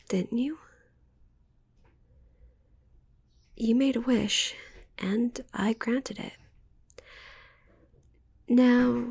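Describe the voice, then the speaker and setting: Possessive yandere witch played by a woman, slow cooing whisper, creaky, sweetly menacing, doting, ASMR roleplay. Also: mid-range voice, a little mellow, no echo, exaggerated voice. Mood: tender, coaxing.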